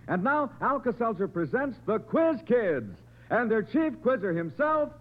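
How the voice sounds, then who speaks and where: A man's voice: very high-pitched, big pitch swings, a little slow. A man announcing a sponsor on 1950s radio in an emphatic, scripted salesman's style. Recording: noisy, tinny 1950s radio recording.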